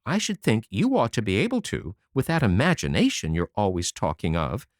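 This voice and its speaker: A reader voicing a practical, measured older woman guardian, in an audiobook. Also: medium pitch, wildly swooping pitch, no echo, clean studio sound. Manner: measured, practical, matter-of-fact.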